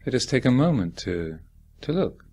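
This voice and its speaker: A man teaching guided meditation, speaking in a deliberate, reflective manner with gentle questions and long pauses. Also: mid-range voice, sing-song.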